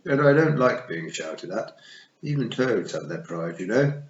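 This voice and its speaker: A man voicing a dry, unhurried male talking toad with a drawling, sardonic manner. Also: fairly low pitch, big pitch swings. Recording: echoey, slightly dull.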